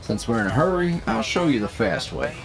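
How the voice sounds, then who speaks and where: A man's voice: mid-range voice, expressive, fairly quick, creaky, over music, low-quality recording. A man playing a cocky, seasoned demonic hunter who teaches an apprentice in a folksy drawl, in an audio roleplay. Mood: instructive.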